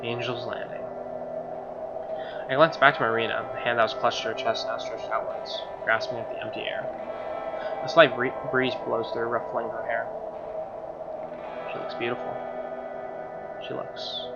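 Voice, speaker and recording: A man's voice: medium pitch, flat delivery, moderate pace, long pauses. A man reading a visual novel aloud as a let's player, narrating the story and tossing in casual reactions. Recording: dull lo-fi mic under loud music. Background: loud game music.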